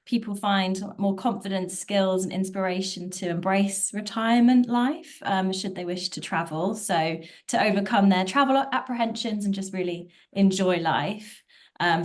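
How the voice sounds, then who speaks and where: A woman's voice: medium pitch, moderate pace. Upbeat woman, chatty panel guest. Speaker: retirement travel coach, panel guest. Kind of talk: online panel discussion.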